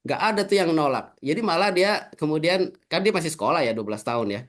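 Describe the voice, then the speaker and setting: A man's voice: on the high side, expressive, fast talker, a bit bright. Male guest speaker, casual webinar talk, tag-heavy chatter, video call audio. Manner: chatty, many fillers like gitu ya. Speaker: invited speaker.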